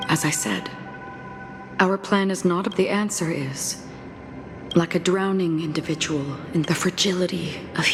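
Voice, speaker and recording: A woman voicing a solemn, enigmatic swordswoman in a video game, speaking in a measured, formal and grave way. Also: lowish voice. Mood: pensive. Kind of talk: dramatic video game dialogue. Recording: game music behind the voice.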